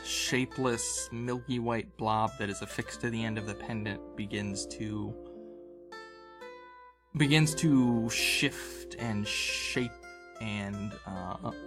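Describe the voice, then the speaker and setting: Slow-paced man running a tabletop game. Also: medium pitch. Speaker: game master. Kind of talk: improvised tabletop game narration. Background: background fantasy game music.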